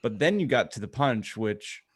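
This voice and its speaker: A man's voice: medium pitch, lively intonation, normal speed, slightly rough. A man co-hosting a podcast, chatting casually with dry, irreverent, self-mocking humor. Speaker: podcast co-host. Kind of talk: casual joking chat.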